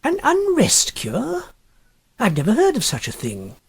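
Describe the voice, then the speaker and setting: A man voicing a prim, fretful, middle-aged gentleman in a storybook reading, speaking with fussy precision. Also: very high-pitched, wildly swooping pitch, unhurried, gravelly, slightly rough, voice pushed up, exaggerated voice. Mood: puzzled. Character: fussy, staid, easily upset middle-aged English gentleman.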